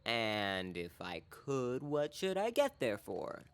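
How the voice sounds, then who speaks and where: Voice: mid-range voice, wildly swooping pitch, takes it slowly, gravelly, clean tone, no echo, heavily acted. An actor playing a spurned, ardent young man in love in a Shakespeare audio drama, impassioned and melodramatic. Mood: resigned. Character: ardent, spurned young male suitor, Demetrius.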